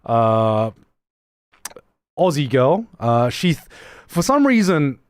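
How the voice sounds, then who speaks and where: A man's voice: medium pitch, smooth, no echo, studio quality. A young man with a mixed, slightly British-tinged accent, chatting casually on a livestream and reading viewer messages, laughing often and restarting his sentences. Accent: mixed, slightly British-tinged English. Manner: animated, laughing often, many quick restarts. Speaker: streamer.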